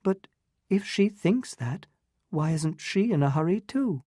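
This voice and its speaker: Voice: mid-range voice, expressive, unhurried, dark tone, no echo, clean studio sound, voice pushed up. A narrator voicing a poised young countess who is guarded and proud, speaking in a lighter, clipped, composed way. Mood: quiet.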